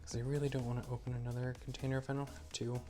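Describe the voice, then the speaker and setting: A man's voice: medium pitch, level tone, very fast, mellow, soft background music. A man getting over a cold, sounding stuffy and a bit wheezy, cheerfully walking through a hands-on demonstration step by step. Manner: upbeat, explains each step as he works. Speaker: maker demonstrating a product. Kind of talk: casual how-to demonstration.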